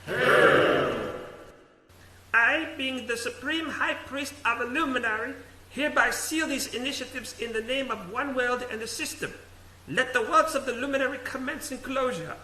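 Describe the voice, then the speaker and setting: Shrill imperious high priest. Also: male voice, normal speed, bright, forceful tone, roomy sound, a little hiss or hum, exaggerated voice, rough recording. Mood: grandiose. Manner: pompous, ceremonial. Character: grandiose supreme high priest, man, imperious.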